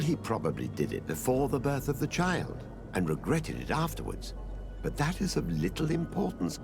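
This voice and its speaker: Creaky, swooping pompous official. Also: male voice, fairly low pitch, heavily acted. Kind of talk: video game dialogue acting.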